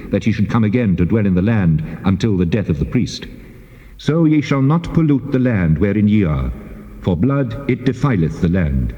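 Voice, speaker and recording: An actor as a deep, reverberant, solemn God. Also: male voice, mellow, natural voice. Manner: measured, declamatory, authoritative. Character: solemn, stern God of Israel laying down law. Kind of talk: dramatized scripture reading. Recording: dull tape with long hall reverb.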